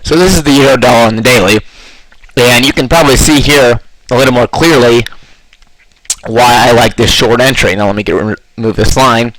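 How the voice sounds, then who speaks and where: A man with a slightly hoarse voice, walking through trading charts in a loose, casual way with lots of fillers. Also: mid-range voice, normal speed, fairly bright tone, dry recording, low-quality recording. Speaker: forex trading analyst.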